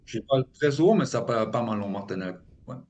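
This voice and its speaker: A man's voice: mid-range voice, expressive, racing, darkish tone. A man with a mid-range voice chats on a video call. He is playful and keeps testing his partner with questions, in a loose, casual tone. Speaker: polyglot video host. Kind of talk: casual chat.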